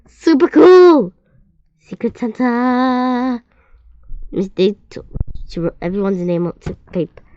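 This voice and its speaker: Lady reading a kids' story out loud, trips over words a lot, dry dull lo-fi sound. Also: mid-range voice, no room sound. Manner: expressive, often stumbling and repeating words. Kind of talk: children's story read-aloud.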